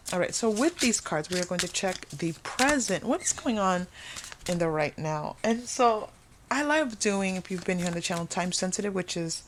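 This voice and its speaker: A woman's voice: mid-range voice, background noise. A woman hosting an unscripted spiritual tarot reading, speaking earnestly and emphatically to viewers, often repeating and rephrasing her phrases. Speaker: tarot reader hosting a channel.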